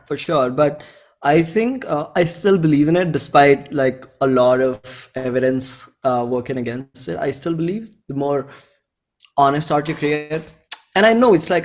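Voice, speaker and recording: A man in his twenties from India with an Indian accent, talking informally and earnestly as an interview guest, in halting phrases. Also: medium pitch. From Bhopal, India. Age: 20s. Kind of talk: casual chat.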